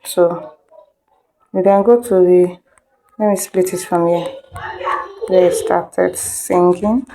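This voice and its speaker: A woman's voice: lowish voice, fairly even intonation, slow pace, long pauses, dark tone, rough recording. A woman instructor giving an informal step-by-step tutorial, repeating instructions and checking in with listeners. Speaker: video editing instructor.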